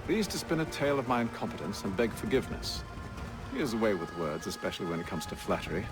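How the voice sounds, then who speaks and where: A deep male voice with lively, wide-moving intonation acted by a man, playing a confident, calculating leader in a game cutscene. He is composed and eloquent, with music playing behind him. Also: quick pace, natural voice. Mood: dry confidence. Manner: composed, formal, eloquent phrasing. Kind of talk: video game cutscene acting.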